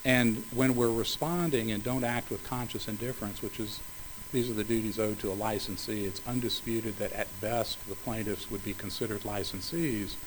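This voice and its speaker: Measured man arguing a legal case. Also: lowish voice, steady tone, noisy background. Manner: measured, formal legal phrasing with occasional restarts. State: slightly hoarse, coughing. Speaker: attorney arguing for a city. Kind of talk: appellate oral argument.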